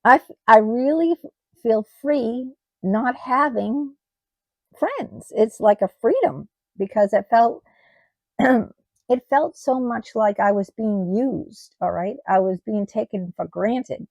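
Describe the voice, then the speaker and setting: Middle-aged woman, gravelly, voice going. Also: a little high, expressive, darkish tone, no room sound, lo-fi sound. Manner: halting, with many ums and restarts. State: losing her voice. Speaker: vlogger. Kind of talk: personal vlog monologue.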